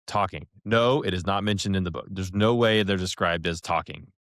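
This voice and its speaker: A man co-hosting a podcast, chatting casually in a halting, rambling, joking way. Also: deep voice, fairly bright tone, dry recording, clean studio sound. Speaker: podcast co-host reviewing an audiobook. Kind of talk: casual review chat.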